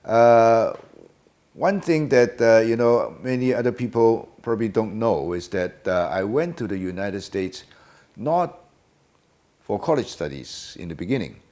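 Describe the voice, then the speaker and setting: Older man, very low voice. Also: lively intonation, darkish tone. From Hong Kong. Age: about 70. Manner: hesitant, many filler pauses. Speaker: guest. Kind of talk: casual interview answers.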